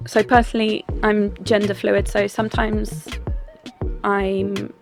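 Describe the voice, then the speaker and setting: A young adult doll artist talking through their craft in a relaxed, chatty way, with plenty of ums and restarts. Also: female voice, medium pitch, slow pace, creaky. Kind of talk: casual vlog talk and craft voice-over. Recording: music bed under the voice.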